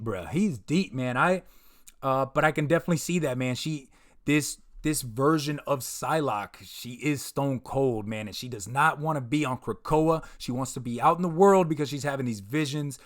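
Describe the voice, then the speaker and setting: A man's voice: mid-range voice, animated, normal speed. A man hosting a live comic review stream in casual chat, laid-back and slangy, often stumbling and repeating words. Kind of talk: casual livestream chat, reading viewer comments.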